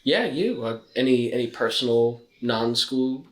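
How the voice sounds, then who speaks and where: A man's voice: mid-range voice, animated, a little slow. A young man co-hosting a podcast in casual chat, with dry, self-mocking humor. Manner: dry, joking self-mockery. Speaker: podcast co-host. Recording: roomy, echoey room.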